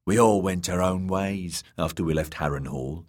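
A man's voice: sits low, moderate pace, close to an everyday voice. A man voicing a coarse, mocking sellsword who talks in a casual drawl. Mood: casual menace. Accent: fantasy drawl. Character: jeering male sellsword spearman, coarse and casual.

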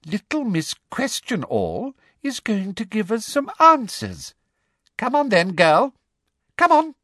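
A man voicing a prim, cruel middle-aged woman official in a story, with a breathy, agitated, self-justifying manner. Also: high-pitched, big pitch swings, a little slow, dry recording, clean studio sound, voice pushed up, full character voice. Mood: triumphant.

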